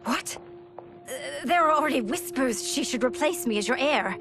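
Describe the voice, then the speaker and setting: A troubled young princess in a dramatic game cutscene. Her voice is fairly high, earnest and defensive, with music clearly behind it. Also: lively intonation, moderate pace. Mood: upset, defensive.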